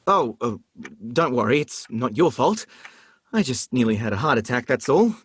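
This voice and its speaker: A man's voice: mid-range voice, big pitch swings, normal speed, husky, no echo. An actor in a radio play playing a sardonic, protective brother in his twenties, theatrical and easily flustered. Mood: shocked, sarcastic. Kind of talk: radio play comedy acting.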